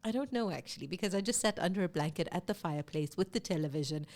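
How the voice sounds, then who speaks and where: A woman from South Africa hosting a live sports talk show, chatty and lively, a bit congested from a cold. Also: fairly low pitch, fast talker. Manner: chatty and lively, with fillers and self-corrections.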